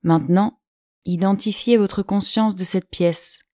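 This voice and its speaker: A woman guiding a yoga nidra relaxation in French, speaking slowly and calmly with long pauses. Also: medium pitch, no room sound, studio quality. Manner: slow, calm, soothing delivery with long pauses. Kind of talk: guided relaxation instruction.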